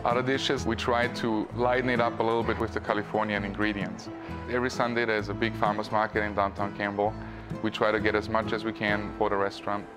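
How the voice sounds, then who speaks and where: Austrian-accented restaurant owner, calm. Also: male voice, on the low side. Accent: Austrian German. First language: German. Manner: calm, measured, pauses with "uh". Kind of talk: interview to camera. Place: empty restaurant dining room. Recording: music bed underneath.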